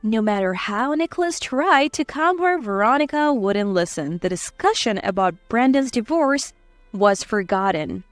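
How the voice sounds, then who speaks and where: A woman's voice: fairly high pitch, expressive, moderate pace, no room sound, faint music behind. A woman reading scripted dramatic story narration.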